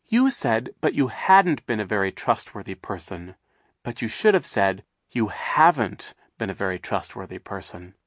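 A man voicing a fearful, fussy old woman who pleads in a high voice. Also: big pitch swings, normal speed, a bit bright, dry recording, studio quality, exaggerated voice. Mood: prim. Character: frightened old woman guardian, fussy about grammar.

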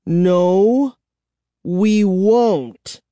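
A man's voice: high-pitched, extremely slow, mellow, no room sound, studio quality, voice pushed up. A man voicing a frightened child in a spooky storybook, speaking with urgent anxiety. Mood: defiant. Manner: urgent, tense exclamations. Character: anxious, frightened child narrator in a vampire tale.

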